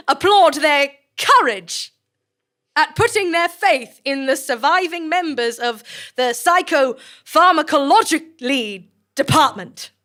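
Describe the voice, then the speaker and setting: A woman's voice: sits high, bright, forceful tone, full character voice. A woman playing a genial, unflappable institute director who speaks politely and formally, with droll understatement, in a comic audio drama. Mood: reassuring. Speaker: research institute director addressing staff.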